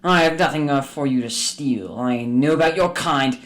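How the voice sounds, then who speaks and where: An actor playing a grumpy, suspicious old miser in a radio drama, with a blustering, theatrical delivery. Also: male voice, medium pitch, normal speed, close to an everyday voice. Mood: indignant. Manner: excitable, indignant, blustering.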